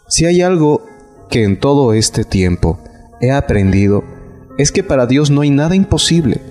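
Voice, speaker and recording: Man, thirties, lowish voice, solemn prayerful testimony, soft music underneath. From Bolivia. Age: 30s. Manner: solemn, prayerful, with repeated invocations. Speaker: prison pastor and inmate. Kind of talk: prayer, scripture reading and personal testimony. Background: faint instrumental music.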